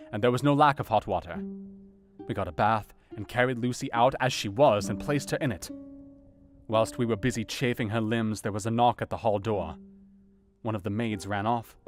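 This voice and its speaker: A man's voice: lowish voice, lightly acted. Man acting earnest doctor narrating over music. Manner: measured, formal, dramatic. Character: earnest male doctor recounting a grim night. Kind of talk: dramatised audiobook narration.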